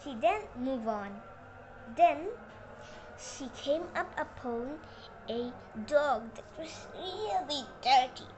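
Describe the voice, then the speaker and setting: A young girl telling a children's moral tale in a halting way, with simple non-native phrasing. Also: high-pitched, expressive, darkish tone. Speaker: storyteller. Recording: noisy room with background ambience.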